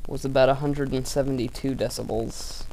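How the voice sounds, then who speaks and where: Low-voiced woman on a crackly mic. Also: fairly even intonation, dark tone. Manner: halting, trivia-minded, wry. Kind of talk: casual online chat. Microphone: headset or desk mic over voice chat. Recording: faint crackly mic noise.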